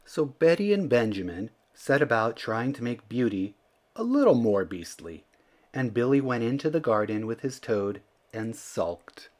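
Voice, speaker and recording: A male storyteller reads a children's book aloud with a highly animated delivery. His pitch moves freely around a middle register, and his phrasing is theatrical and expressive. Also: a little slow. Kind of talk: animated read-aloud narration.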